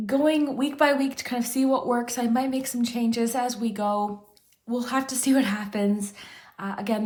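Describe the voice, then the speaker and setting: A woman talks her viewers through a weekly tarot reading in a loose, rambling way, with lots of filler and restarts. Her voice sits a little higher than most and sounds slightly hoarse, as if she is losing it. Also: darkish tone. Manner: chatty, rambling, frequent "kind of" and restarts. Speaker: tarot reader, channel host. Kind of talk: casual pick-a-card tarot chat to camera.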